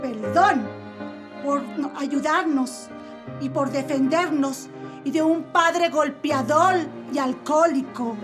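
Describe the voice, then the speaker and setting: A brassy showgirl with a very high, piping voice, played by a woman with a Mexican Spanish accent. She drawls slowly and suggestively, pleading her case in a comic radio sketch. Music plays softly underneath. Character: brash adult woman showgirl defendant. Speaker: defendant in a comic court sketch.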